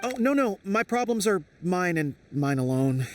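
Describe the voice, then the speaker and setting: A man's voice: high voice, very animated, moderate pace, distant mic, a little hiss or hum. An actor playing a shy, gloomy male frog singer who talks hesitantly and sighs. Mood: downcast. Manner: hesitant, sighing.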